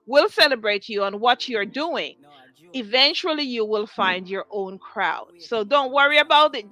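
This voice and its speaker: A woman's voice: fairly high pitch, bright, forceful tone, poor audio. A woman hosting a music interview show, talking to a live audience in an effusive, encouraging way and repeating phrases for emphasis. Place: music studio.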